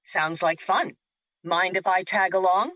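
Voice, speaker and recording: A woman's voice: fairly low pitch, measured pace, bright, forceful tone, no echo. A woman acting in an audio drama as a teasing, breezy celestial sister, casual and wry, on a slightly dull recording.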